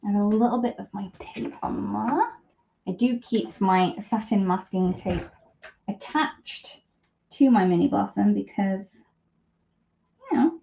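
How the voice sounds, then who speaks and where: A woman's voice: medium pitch, vocal fry, dark tone, highs cut off, a little hiss or hum. A woman hosting a live craft tutorial in casual chat, thinking aloud as she works, with a self-deprecating streak. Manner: chatty, thinking aloud while working. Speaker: host of a live papercraft demonstration.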